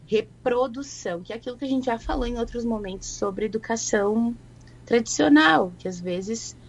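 A woman, explaining literacy as a guest in a relaxed, reflective way. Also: on the high side, moderate pace, gravelly, a little hiss or hum, low-quality recording. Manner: reflective, thoughtful. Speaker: guest educator. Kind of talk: conversational explanation.

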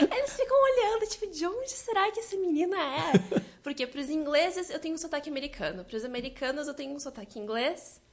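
Lively young southern Brazilian woman. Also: high voice. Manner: lively, laughs often, quotes others' reactions. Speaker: guest. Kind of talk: interview answers.

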